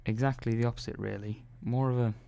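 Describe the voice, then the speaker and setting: A man playing a calm, methodical robot engineer in an ASMR roleplay, speaking softly and slowly with hesitant pauses. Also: medium pitch, gravelly, clear, resonant tone, close to an everyday voice. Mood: reassuring. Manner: soft-spoken, slow and hesitant, many pauses and "well"s.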